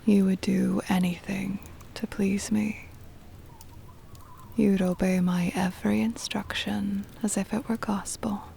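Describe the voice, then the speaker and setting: A woman playing a centuries-old vampire mistress, tender and possessive, in a hushed, breathy ASMR whisper with deliberate, formal wording. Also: medium pitch, little pitch movement, a little hiss or hum. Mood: doting, possessive. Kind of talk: ASMR roleplay, intimate scripted monologue.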